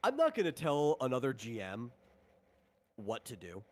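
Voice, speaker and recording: Man, medium pitch, game master type explaining options in relaxed stream chat with frequent asides. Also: moderate pace. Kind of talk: casual explanatory stream talk.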